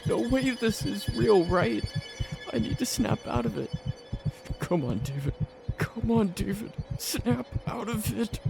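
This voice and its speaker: A man's voice: high voice, expressive, slow pace, gravelly, over music, pitched above the performer's own voice, heavily acted. A man playing a terrified, desperate young man in a horror story, pleading frantically and repeating himself. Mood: panicked desperation. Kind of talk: horror audio story acting.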